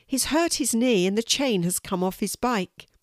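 A woman voicing a calm, sensible girl in a storybook, with a practical manner. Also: a little high, moderate pace, dry recording, clean studio sound, voice pushed up, natural voice.

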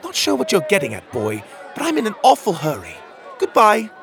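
A man voicing a hurried, dismissive young townswoman in a storybook, curt and brisk in manner. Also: on the high side, huge pitch swings, vocal fry, crowd noise, pitched above the performer's own voice, full character voice. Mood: impatient.

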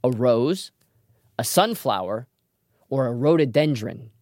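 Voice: medium pitch, expressive, unhurried. A trivia show host presenting a scripted quiz in a playful way, with wry asides. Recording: music behind the voice.

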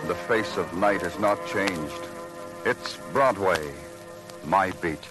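Low-voiced, brooding noir detective, old radio, music behind. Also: male voice, slow, rough recording. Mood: brooding, dramatic. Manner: poetic, brooding delivery. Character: weary, brooding male police detective narrating his beat. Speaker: lead actor and narrator. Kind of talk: 1950s radio noir acting.

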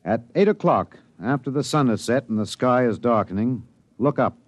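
A man's voice: medium pitch, lively intonation, moderate pace, no echo, lightly acted. An actor playing a driven, candid radio newsman and narrator in a 1950s radio drama. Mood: grave, dramatic. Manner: off-the-cuff asides, then grave dramatic delivery. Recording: dry, dull old radio recording.

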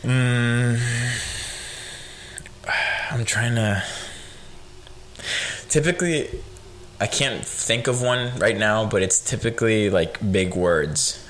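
A young man hosting a podcast, a native Spanish speaker with a light Spanish accent, chatting in a relaxed, rambling way with lots of fillers. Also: medium pitch, slow pace, pauses often. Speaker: podcast host. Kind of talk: casual chat.

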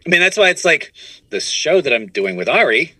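A man talking casually as a comedian guest on a podcast, animated and often restarting his phrases. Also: a little high, fairly quick, a little hiss or hum. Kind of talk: casual conversational interview answers.

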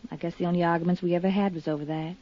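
A woman's voice: lowish voice, fairly even intonation, racing, no room sound, lightly acted. Woman acting an earnest young radio heroine, dull sound. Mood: wistful, earnest. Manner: earnest, confiding, firm. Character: poised, earnest, grieving young woman, self-assured. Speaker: radio drama actress. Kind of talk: 1950s radio drama acting. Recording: dull, dry 1950s radio recording.

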